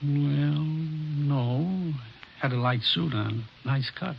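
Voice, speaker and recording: An actor playing a sheepish, apologetic pawnshop clerk with a gravelly voice, in an old radio drama. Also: male voice, on the high side, extremely slow, a little hiss or hum, heavily acted. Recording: muffled vintage radio broadcast.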